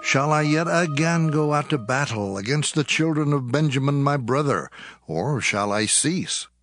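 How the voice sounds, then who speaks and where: Dry, highish pleading Israelite, acted by a man. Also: expressive, moderate pace, a bit bright, no room sound, soft background music. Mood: pleading, doubtful. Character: anguished, questioning Israelite supplicant before God. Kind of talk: dramatized scripture reading.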